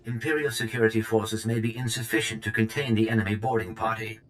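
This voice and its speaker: An actor voicing a calm, dutiful military protocol droid in a video game, formal and measured. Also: male voice, on the low side, a little hiss or hum, lightly acted. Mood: formal, composed.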